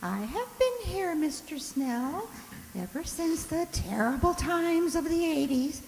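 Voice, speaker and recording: A woman acting an imperious, snobbish old lady of about eighty in a radio drama, with a haughty, drawn-out theatrical delivery. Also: high voice, sing-song, darkish tone, big-room sound, a little hiss or hum, heavily acted, poor audio. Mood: grand, reminiscing.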